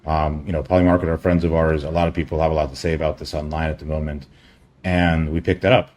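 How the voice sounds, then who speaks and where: A man giving a casual screen-share product update as a company chief, in a halting, rambling way with offhand asides. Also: very deep voice, flat delivery, darkish tone.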